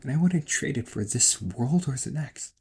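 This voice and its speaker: A man playing a protective, exasperated ghost brother in an emotional amateur audio roleplay, speaking in a husky voice. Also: mid-range voice, lively intonation, normal speed. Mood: tender, wistful. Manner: emotional, swearing, swings from outbursts to soft confessions.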